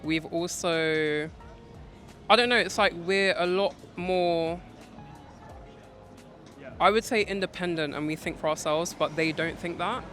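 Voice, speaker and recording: A man's voice: a little high, unhurried, pauses often, pushed and bright, soft background music. A man in his twenties sharing opinions casually as an interviewee. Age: 20s. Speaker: street interviewee.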